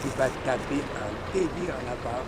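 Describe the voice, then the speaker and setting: A man's voice: expressive, moderate pace. A middle-aged man from Lyon, France, chatting casually in slang, emphatic and repeating himself as he gives travel tips. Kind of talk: casual animated chat, giving travel tips. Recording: very noisy outdoor street recording, traffic rumble.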